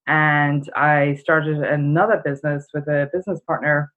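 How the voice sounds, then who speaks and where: A woman's voice: sits low, fairly even intonation, normal speed. A middle-aged woman from Ireland with an Irish accent, telling her story casually as a podcast guest. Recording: dull narrow-band call audio.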